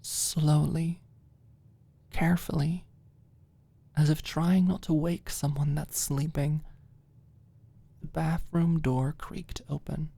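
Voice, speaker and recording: Low voiced woman reading an asmr audiobook, really slow, super dry close sound. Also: steady tone, gravelly, dark tone, breathy edge, dry recording. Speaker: audiobook narrator. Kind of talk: scripted story narration, ASMR audiobook.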